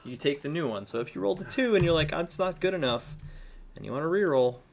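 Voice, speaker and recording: A man's voice: fairly high pitch, animated, quick pace, gravelly, slight background noise. A man chatting dryly while painting miniatures, talking through the reasoning step by step. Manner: dry, wry, explains things step by step. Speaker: show regular.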